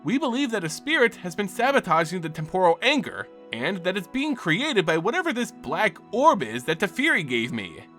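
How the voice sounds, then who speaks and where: Male narrator as woman inventor, earnest, matter-of-fact, slightly high bright voice, fantasy storybook. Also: big pitch swings, moderate pace, few pauses, soft background music, heavily acted. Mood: firm, urgent.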